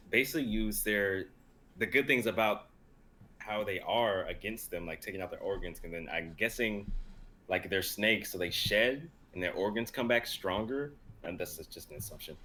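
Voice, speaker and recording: A man's voice: lowish voice, moderate pace, fairly bright tone. A young man chatting casually on a noisy livestream call, rambling as he thinks aloud. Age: young adult. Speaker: actor and reader on a group livestream. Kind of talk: casual chat.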